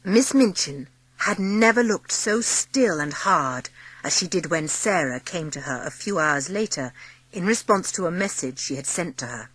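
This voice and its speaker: A woman's voice: on the low side, lively intonation, moderate pace, fairly bright tone, dry recording, poor audio. A woman reading scripted narration for a storybook audiobook drama.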